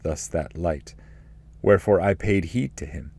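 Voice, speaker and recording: Deep-voiced man reading literature aloud. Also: creaky, mellow, slightly rough, no echo. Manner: measured, formal delivery. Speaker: narrator, reader. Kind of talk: scripted literary narration and poetry reading.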